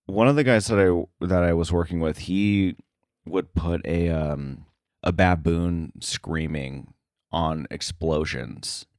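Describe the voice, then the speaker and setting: A man with a low voice talks as a podcast guest in a casual interview. He is hesitant, thinking aloud as he goes, and the sound is close and dry. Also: slow pace, a little mellow, no room sound.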